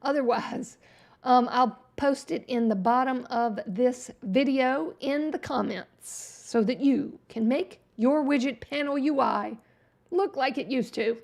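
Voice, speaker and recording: A fairly high-voiced woman, hosting a relaxed livestream tutorial. She talks through each click in a chatty, folksy way, and her voice is wearing hoarse. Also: a little slow. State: losing her voice. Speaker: web design livestream host. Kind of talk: casual screen-share tutorial chat.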